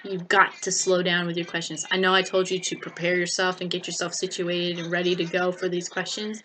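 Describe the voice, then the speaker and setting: A woman's voice: on the low side, completely flat delivery, fairly quick, pushed and bright, low-quality recording. A young American woman giving casual vlog advice in a chatty, informal way, often repeating words for emphasis. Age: young adult. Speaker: vlogger. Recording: noticeable background noise.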